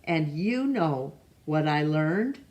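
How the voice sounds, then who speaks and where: A woman's voice: low-pitched, expressive. A woman reading a picture book aloud to children, stopping to ask the listener questions and now and then rereading a name. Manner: slow, gentle, asks the listener questions; stumbles over names and rereads them. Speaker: storytime reader.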